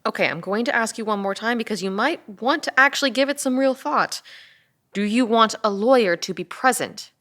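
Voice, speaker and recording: A woman's voice: a little high, lively intonation, a little fast, fairly bright tone. A woman playing a tough, weary police officer in a dramatic table read, sardonic and insistent. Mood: firm, formal.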